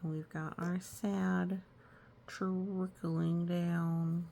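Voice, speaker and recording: A woman reading aloud for children in a storytime style, gently explaining with casual asides. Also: lowish voice, level tone, drawn-out words, vocal fry. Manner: gentle explaining to young listeners. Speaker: storytime reader.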